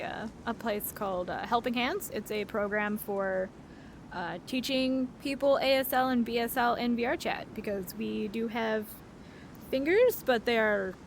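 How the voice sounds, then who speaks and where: Casting: giggly young woman streamer, high-ish voice, faint room hum. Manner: bubbly, giggly, chatty. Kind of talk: casual live-stream chat. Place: virtual reality convention, talking from home.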